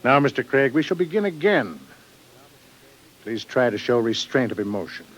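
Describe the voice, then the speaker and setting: An actor playing a cold, menacing government interrogator, formal and measured, in a 1950s radio drama. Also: male voice, medium pitch, big pitch swings. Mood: stern. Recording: dull, band-limited old radio recording.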